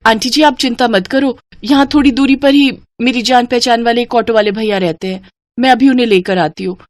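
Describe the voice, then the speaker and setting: A woman's voice: a little high, brisk, no room sound, pitched above the performer's own voice, natural voice. A woman doing an eager, kind young vegetable seller. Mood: reassuring. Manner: bright, eager, reassuring.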